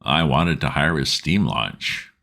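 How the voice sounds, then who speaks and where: A man voicing a keen, composed gentleman detective who speaks in a measured, coaxing way. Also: a bass voice, expressive, gravelly, exaggerated voice.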